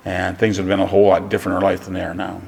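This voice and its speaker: Man, low gravelly voice, halting with fillers, church reverb. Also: completely flat delivery, a little mellow. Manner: halting, many fillers and restarts. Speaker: pastor. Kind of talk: church introduction. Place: church sanctuary.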